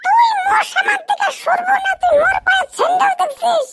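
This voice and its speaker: A man voicing a scornful, hot-tempered young woman in a cartoon, scolding in a northern Bengali accent with a pitch-raised effect. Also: fairly even intonation, fairly quick, shouting, music in the background. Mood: scornful, angry. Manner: shrill, scolding, insulting.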